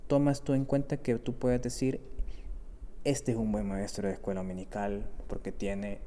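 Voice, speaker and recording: A man's voice: lowish voice, level tone, moderate pace, mellow. A man hosting a conversational podcast interview and putting questions to his guest. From El Salvador. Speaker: podcast host. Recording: echoey hall-like reverb.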